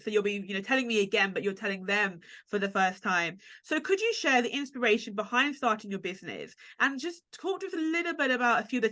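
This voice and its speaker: Fast-talking lady host, bright voice, chatty and upbeat. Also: mid-range voice. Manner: chatty and upbeat, many asides. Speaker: podcast host. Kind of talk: casual interview chat.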